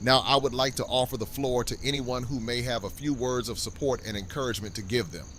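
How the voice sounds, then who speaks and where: A man's voice: mid-range voice, fast, a bit bright, slight background noise, lightly acted. A man playing a stately community leader in an audio drama, speaking formally. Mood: warmly formal. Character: Ezekiel, stately community leader hosting a celebration. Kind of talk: fan audio drama acting.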